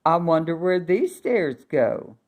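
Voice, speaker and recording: A woman's voice: lowish voice, animated, slow, phone-quality sound. A woman voicing a curious, sweet young storybook princess with wide-eyed exclamations. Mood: wondering.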